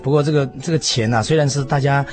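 Male radio guest, Taiwanese Mandarin accent. Mid-pitched, explanatory and conversational, feels his way through phrases with repetition, music underneath. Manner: halting, repeats phrases, frequent trailing particles. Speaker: interview guest commentator. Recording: music behind the voice. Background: background music.

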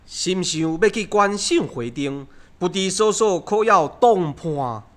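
A man's voice: sits high, expressive, takes it slowly. A man playing a refined, courteous young scholar, declaiming formally in Taiwanese opera style. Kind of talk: Taiwanese opera recitation and dialogue.

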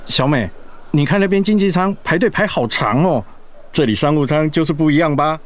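A man's voice: a little high, expressive, brisk, slight background noise, lightly acted. An actor playing a caring, fretful middle-aged husband and father in a radio drama. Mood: easygoing.

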